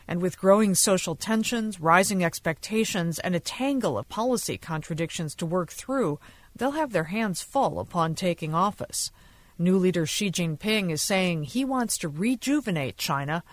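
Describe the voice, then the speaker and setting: A woman's voice: on the low side, expressive, normal speed, no room sound. A woman correspondent narrating a scripted radio news report. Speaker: foreign correspondent.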